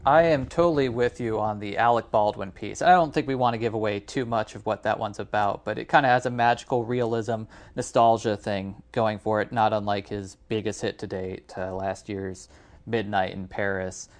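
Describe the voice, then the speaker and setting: A man's voice: lowish voice, fairly even intonation, normal speed. A man co-hosting a podcast, giving his opinions on films in a casual discussion. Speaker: podcast co-host reviewing films.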